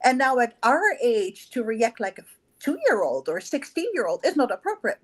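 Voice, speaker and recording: A middle-aged woman with a Dutch accent talks in a fairly high voice. She explains her coaching work earnestly, as a guest in a conversation. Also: very animated, moderate pace. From the Netherlands. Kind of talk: casual chat.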